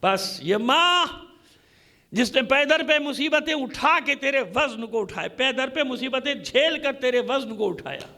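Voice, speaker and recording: A man's voice: extremely high pitch, lively intonation, unhurried, sharp tone. A man delivering an impassioned religious sermon as a Shia preacher, emphatic and repetitive, with rhetorical questions. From Pakistan. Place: congregation hall, speaking to a gathering.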